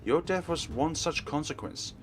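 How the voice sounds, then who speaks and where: A man voicing a cold, grieving boy killer from a visual novel, grave and deliberate in his speech. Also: a little high, pitched above the performer's own voice, close to an everyday voice. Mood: grave, resigned. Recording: music playing behind.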